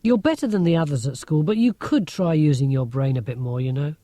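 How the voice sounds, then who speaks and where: A man's voice: fairly high pitch, expressive, fairly quick, warm tone, dry recording, close to an everyday voice. A man voicing a clever, bossy, blunt schoolgirl in an audiobook for children. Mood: blunt, superior.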